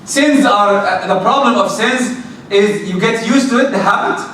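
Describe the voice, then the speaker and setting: Loud guy lecturing teens, high bright voice, asks lots of questions, big echoey hall, mic far off. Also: moderate pace. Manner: direct, rhetorical questions, animated. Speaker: lecturer addressing youth. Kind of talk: religious talk to young people. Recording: very echoey hall, distant mic, faint noise.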